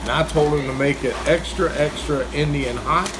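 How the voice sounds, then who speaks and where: A man's voice: fairly high pitch, normal speed, background noise. A man, chatting casually as he reviews diner food while eating. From Kentucky, US. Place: small diner, indoors. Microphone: phone camera across the table.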